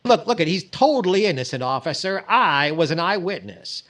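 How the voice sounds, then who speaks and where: A man's voice: high voice, sing-song, a bit bright. A man playing a vain, flustered, stammering middle-aged comedian in 1940s-style radio comedy. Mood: flustered pleading. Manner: hesitant, stammering, exasperated delivery with drawn-out pauses.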